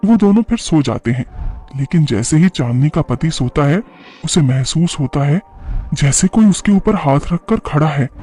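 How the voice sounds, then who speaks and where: Male narrator for scripted animated horror, mellow timbre, light music bed. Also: a little high, breathy edge. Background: faint music.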